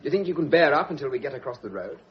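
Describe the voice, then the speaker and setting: An actor playing a breezy, flippant society painter in a British radio drama, with jaunty, old-fashioned slangy banter. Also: male voice, on the high side, big pitch swings, very fast. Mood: jaunty, teasing. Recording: muffled, noisy old radio drama recording.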